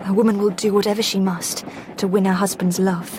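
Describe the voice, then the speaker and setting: A woman's voice: mid-range voice, flat delivery, brisk, background noise, lightly acted. A woman playing an earnest, determined young Victorian wife in a radio drama, speaking in an articulate, intense way. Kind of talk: period radio drama acting.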